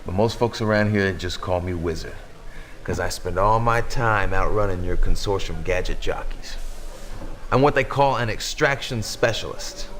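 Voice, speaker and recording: A cocky young male hacker from a game cutscene. He wisecracks in slang, in a medium to lowish voice, with faint noise behind him. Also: normal speed, fairly bright tone. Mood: cocky, warning. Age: young adult. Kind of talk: video game cutscene acting.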